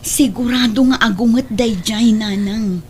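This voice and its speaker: Woman doing evasive guilty big sister, high voice, ilocano accent, audio drama. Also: unhurried, slightly rough. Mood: anxious. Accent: Ilocano Filipino. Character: guilty, evasive older sister hiding spent money.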